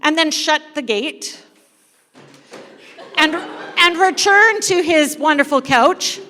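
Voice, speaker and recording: High-voiced woman storyteller in a reverberant hall. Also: slow pace, bright, forceful tone, slight background noise. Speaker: church storyteller leading a live dramatic reading. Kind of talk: animated storytelling to a live audience, with asides to participants. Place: large indoor church room. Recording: reverberant hall, live event sound.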